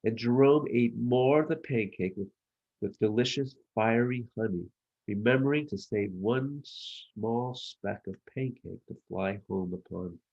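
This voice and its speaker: A man narrating a children's storybook as its author, with measured, expressive delivery. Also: medium pitch, lo-fi sound. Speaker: author reading own children's book.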